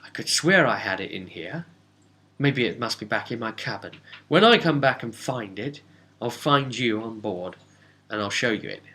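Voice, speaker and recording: A man voicing a coy, haggling fantasy trader in a tabletop game, with a measured salesman's manner. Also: mid-range voice, lively intonation, bright, forceful tone. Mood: puzzled, bargaining. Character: sly, haggling male fantasy trader aboard a ship.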